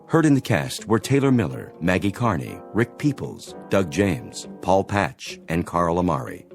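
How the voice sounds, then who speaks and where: A male announcer reads scripted radio credits. His voice is fairly low, and faint music plays beneath it. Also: sing-song, measured pace.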